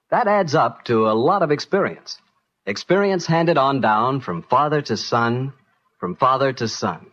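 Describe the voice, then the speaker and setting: Animated American radio announcer, husky edge. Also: male voice, medium pitch. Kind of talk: 1940s radio announcing and sponsor pitch. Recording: dull vintage radio, music behind.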